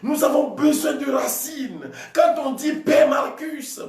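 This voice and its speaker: A man from Togo, preaching a charismatic sermon as a priest and often shouting with drawn-out emphatic phrases. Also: very high voice, expressive. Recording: echoey room, voice from a distance.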